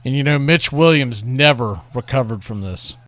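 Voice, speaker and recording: A man's voice: medium pitch, lively intonation, moderate pace, a bit bright, no echo. A man hosting a radio show, talking casually and enthusiastically about classic baseball calls like a storyteller.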